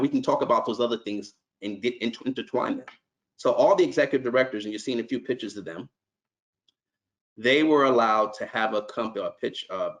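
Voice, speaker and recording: A man's voice: mid-range voice, low-quality recording. Earnest middle-aged man giving conference remarks. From New York. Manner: earnest, conversational, with repeated restarts. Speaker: nonprofit executive.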